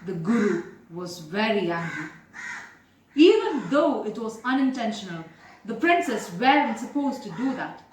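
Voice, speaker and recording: A woman's voice: mid-range voice, very animated, measured pace. A young woman with an Indian accent narrates a moral tale, earnestly explaining and addressing her listeners directly. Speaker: storyteller. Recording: echoey room with faint background noise.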